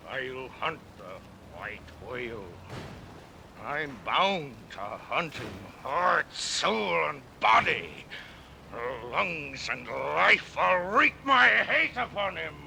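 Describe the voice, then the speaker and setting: Gravelly, obsessed old sea captain. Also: male voice, medium pitch, big pitch swings, slow, bright, forceful tone, full character voice. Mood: obsessed, menacing. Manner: grandiose, archaic oratory. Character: obsessed, vengeful old whaling ship captain. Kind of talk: classic radio drama acting. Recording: faint noise, old radio play recording.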